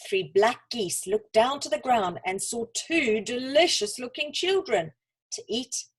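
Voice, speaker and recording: A woman's voice: a little high, breathy edge, poor audio. A woman reading a children's story aloud as a storyteller. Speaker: school storyteller.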